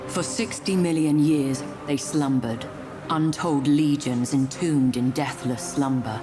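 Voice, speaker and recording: A performer giving solemn, measured, portentous narration for a science fiction war game cinematic. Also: medium pitch, natural voice. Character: grave omniscient narrator of a space war saga. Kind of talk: scripted dramatic cinematic narration.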